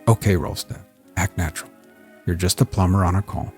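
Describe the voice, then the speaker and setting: A man voicing an anxious, gruff father, a terse plumber muttering under pressure in a crime audiobook. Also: sits low, steady tone, a little fast, over music. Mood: nervous self-talk. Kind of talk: acted dialogue in crime audiobook.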